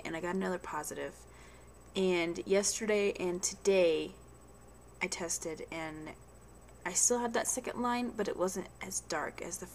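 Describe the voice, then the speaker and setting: A woman's voice: mid-range voice, a little hiss or hum. A young woman with a stuffy head cold, chatting casually to camera in a rambling video diary at home, full of ums and restarts. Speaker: vlogger.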